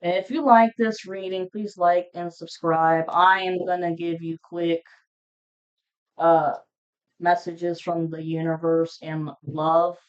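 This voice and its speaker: Woman, lower-pitched smooth voice, blunt and sweary, casual tarot reader, unscripted. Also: level tone, slow, lo-fi sound. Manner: blunt, foul-mouthed, informal. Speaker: tarot reader hosting a zodiac reading. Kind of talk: casual, unscripted tarot reading addressed to viewers.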